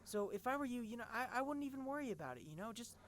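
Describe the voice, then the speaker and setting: A woman's voice: fairly high pitch, expressive, racing, slight background noise, close to an everyday voice. Woman as an earnest young cartoon pony mare. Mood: reassuring. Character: earnest young female pony explaining secret knowledge. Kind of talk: parody cartoon dub.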